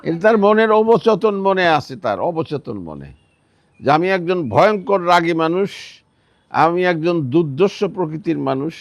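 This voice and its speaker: A man's voice: on the high side, big pitch swings, measured pace, no echo. An older man with a Bangladeshi accent, recounting personal memories in conversation, emphatically repeating phrases for stress. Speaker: interviewee, former armed fighter. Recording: dull, slightly muffled sound.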